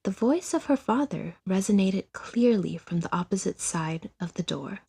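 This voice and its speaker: A woman's voice: medium pitch, animated, normal speed, creaky, warm tone, husky. A woman narrating a scripted audio drama story.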